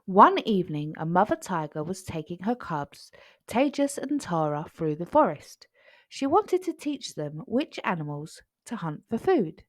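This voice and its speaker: A woman reads a children's storybook aloud. Her narration is highly expressive, with lively rises and falls, and the sound is close and dry. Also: unhurried, no room sound.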